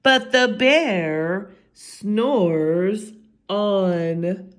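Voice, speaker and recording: A woman's voice: mid-range voice, extremely slow. A woman reading a picture book aloud for children in a theatrical way, with whispered asides and stretched-out refrains. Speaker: children's story time reader.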